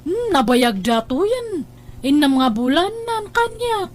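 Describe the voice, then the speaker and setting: A woman's voice: high voice, sing-song, measured pace. A woman with an Ilocano Filipino accent playing a carefree, self-indulgent young working daughter in an audio drama, offhand and dismissive. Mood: casual.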